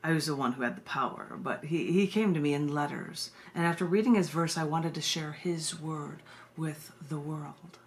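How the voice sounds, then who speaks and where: Low-pitched, gravelly woman poet. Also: normal speed. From United States. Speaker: poet reading her own work. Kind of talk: informal introductions and expressive poetry reading.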